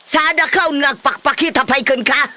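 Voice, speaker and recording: A high, piercing old Ilocano wife played by a woman, fed up and defiant. She nags combatively in a very sharp voice, as in a comedy radio drama. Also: normal speed. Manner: nagging, combative.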